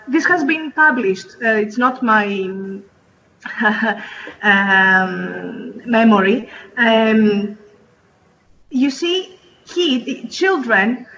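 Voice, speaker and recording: Slow, bright-voiced woman on a call. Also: a little high, a little hiss or hum. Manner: hesitant, many fillers and restarts. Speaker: English teacher and researcher. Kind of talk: panel discussion remarks. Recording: muffled online audio.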